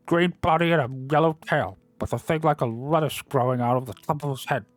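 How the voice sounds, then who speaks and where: A man voicing an old male miser, overwrought and swinging between laughter and tears, in a breathless, excitable delivery. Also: medium pitch, a little fast, no echo, faint music behind, voice pushed up, natural voice. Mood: excited.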